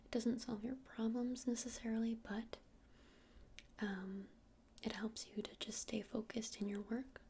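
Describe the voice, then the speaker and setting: A woman whispering softly and breathily in an ASMR roleplay as an easygoing witch shopkeeper, casual and a little hesitant. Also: on the high side, fairly even intonation, unhurried, vocal fry, slight background noise. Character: friendly, easygoing witch running a crystal shop.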